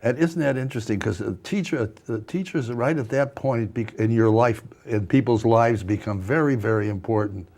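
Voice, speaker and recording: An older man hosting a TV interview in a small studio on a lapel mic, chatty and halting, with many restarts. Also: mid-range voice, darkish tone. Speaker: talk show host.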